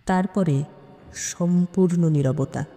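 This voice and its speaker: A woman narrates a suspense story dramatically, with deliberate phrasing and weighty pauses. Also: lowish voice, warm tone. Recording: long hall-like reverb on voice.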